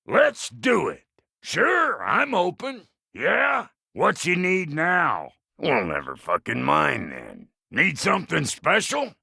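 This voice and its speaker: A man voicing a gruff, cocky, middle-aged dwarf blacksmith for a video game, with a rough gravelly voice, an American accent and a brash, folksy, profane manner. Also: a little high, huge pitch swings, slow pace, pushed and bright, no echo, clean studio sound, heavily acted. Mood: gruff, grumbling. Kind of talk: video game character voice lines.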